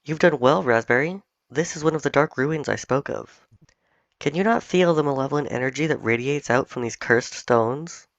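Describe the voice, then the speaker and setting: A wise, ancient cat spirit guardian speaking in solemn, measured tones, as a man reads it out. The voice has a gravelly edge, and the dull, dry recording carries faint game music underneath. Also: mid-range voice, dry recording, lightly acted.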